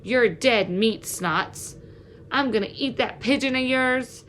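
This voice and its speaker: A woman voicing a menacing boy bully in a storybook, taunting with drawn-out, threatening emphasis. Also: on the high side, fairly bright tone, slight background noise, voice pushed up. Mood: gloating threat. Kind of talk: storybook villain voice.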